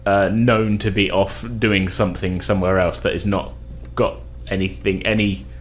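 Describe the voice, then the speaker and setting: A man's voice: sits low, little pitch movement, moderate pace, a little hiss or hum. A man playing a tabletop role-playing game, telling his character's story casually in a hesitant, rambling way.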